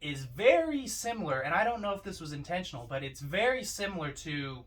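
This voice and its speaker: Man, fairly high pitch, reflective casual film discussion, podcast co-host, recorded from a slight distance. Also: big pitch swings, fairly quick, a bit bright.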